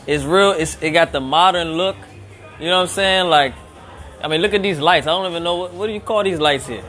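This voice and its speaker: A man's voice: fairly high pitch, fairly quick, fairly bright tone, faint music behind. Easygoing man hosting a live interview. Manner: loose, conversational, lots of fillers. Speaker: show host. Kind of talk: casual live-streamed interview. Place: upstairs lounge of a restaurant. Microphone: handheld phone, no tripod.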